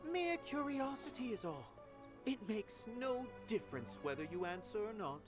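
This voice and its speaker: A woman's voice: fairly high pitch, very animated, normal speed, over music. A woman voicing an aloof, enigmatic observer of art in a video game, musing in a detached, condescending way. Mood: aloof curiosity.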